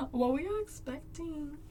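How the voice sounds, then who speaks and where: A young Australian woman with an Australian accent, chatting casually and rambling to live viewers while she draws, with lots of filler "like"s. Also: sits high. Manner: laid-back, rambling, many filler "like"s. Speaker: streamer.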